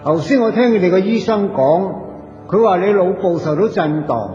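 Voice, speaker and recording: An actor with a Hong Kong Cantonese accent playing a cheeky, chatty, poor wandering soul in a radio drama, colloquial, playful and coaxing. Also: male voice, high voice, animated, normal speed, warm tone, faint music behind. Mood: complaining, chatty. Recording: dull echoey audio with long reverb.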